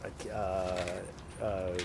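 A man speaking as a company spokesman at a press conference, in halting, hesitant answers. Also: low voice, little pitch movement, gravelly. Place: indoor press conference in clubhouse room. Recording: noisy location recording, room ambience.

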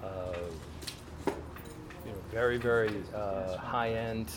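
A man answering reporters' questions at a press event, halting and full of fillers and restarts. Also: fairly low pitch, steady tone, background noise. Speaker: casino developer spokesman.

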